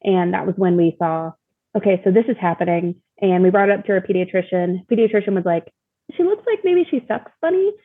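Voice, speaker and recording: Young female interview guest, slightly husky, candid casual storyteller, heard over a thin phone-like connection. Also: medium pitch, animated, a little fast, dry recording. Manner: informal, candid, often uses "like". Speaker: interview guest, mother sharing her experience. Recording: thin, phone-like call audio.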